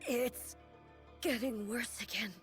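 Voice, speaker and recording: A woman voicing a solemn, dignified, weakened warrior goddess in an anime-style video game, speaking formally and haltingly. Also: on the high side, a bit bright, soft background music. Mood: weary, calm.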